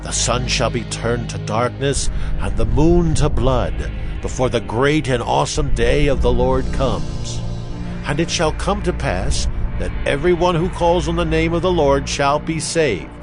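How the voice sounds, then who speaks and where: An actor playing a solemn Hebrew prophet in a dramatized scripture reading, declaiming with grave emphasis. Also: male voice, medium pitch, unhurried, close to an everyday voice. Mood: solemn, proclaiming. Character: solemn male Hebrew prophet proclaiming judgment and promise. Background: music.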